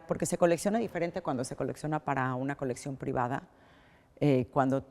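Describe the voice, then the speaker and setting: A woman's voice: low-pitched, a little mellow. A middle-aged woman with a Mexican accent answering interview questions, thoughtful, often restarting phrases. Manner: thoughtful, with many restarts and fillers. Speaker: art collector. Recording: long hall reverb.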